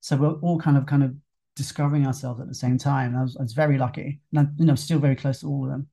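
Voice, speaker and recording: A man's voice: medium pitch, steady tone, quick pace, vocal fry, warm tone. A young man, speaking as an interview guest in a reflective, hesitant way with many restarts. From London, England. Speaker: guest, fitness instructor. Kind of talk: casual interview talk.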